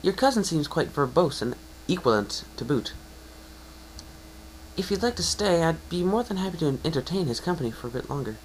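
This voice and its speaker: A man's voice: on the high side, sing-song, brisk, slight background noise, pitched above the performer's own voice, rough recording. A man voicing a polite, bookish female pony scholar with courteous, wordy speech in a fan story reading. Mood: polite, pleased.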